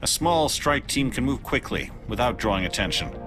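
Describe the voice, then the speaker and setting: An actor playing a cool, self-reliant alien special agent in a video game, speaking in a measured, confident way. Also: male voice, medium pitch, expressive, fairly bright tone, soft background music, lightly acted. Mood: coolly confident.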